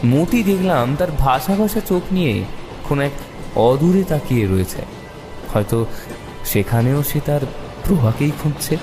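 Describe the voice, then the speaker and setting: A man narrating a detective story in the first person with expressive, suspenseful dramatic delivery. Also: fairly high pitch, moderate pace, pauses often. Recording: long reverb, music behind the voice.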